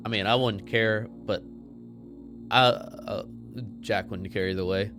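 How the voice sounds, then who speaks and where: A man's voice: fairly low pitch, fairly even intonation, unhurried, over music. A man chatting casually as a player in a tabletop roleplaying game.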